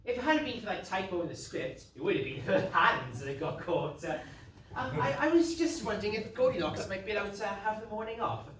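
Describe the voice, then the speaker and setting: Woman playing an earnest young suitor, lively pitch. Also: a little fast, close to an everyday voice. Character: polite, nerdy, eager young suitor, string enthusiast. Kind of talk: stage pantomime acting. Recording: echoey noisy stage, far off.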